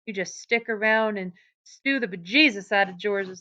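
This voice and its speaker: A woman reading aloud, voicing a stern old aunt who scolds in a sharp rural drawl. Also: medium pitch, moderate pace, lightly acted.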